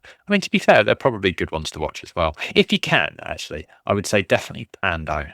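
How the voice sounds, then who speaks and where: A man fielding questions in a casual livestream, rambling with many ums and restarts in a low voice. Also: huge pitch swings, fast, fairly bright tone, dry recording, clean studio sound. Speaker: fleet commander answering questions.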